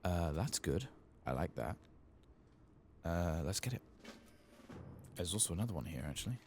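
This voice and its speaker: A soft-spoken man who often drops into a whisper, muttering casually to himself as he commentates on a video game in an ASMR style. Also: low voice, big pitch swings, normal speed, soft background music. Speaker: host playing a video game.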